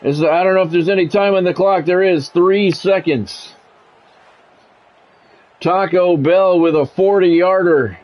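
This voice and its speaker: A man's voice: sits high, unhurried, darkish tone. A man doing play-by-play of his own football video game in short, blunt phrases, mixed with casual gamer talk.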